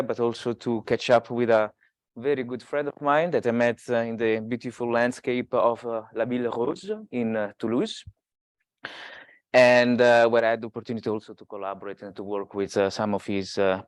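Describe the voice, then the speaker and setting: A man's voice: medium pitch, clean tone, dry recording. A man in his thirties from Italy with an Italian accent, giving an academic seminar talk, hesitant with many filler sounds. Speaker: guest speaker.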